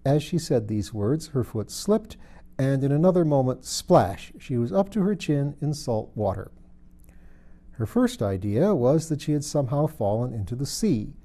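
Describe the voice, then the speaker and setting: A man's voice: mid-range voice, expressive, normal speed, mellow. A man reading a children's storybook aloud as scripted narration. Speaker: audiobook reader.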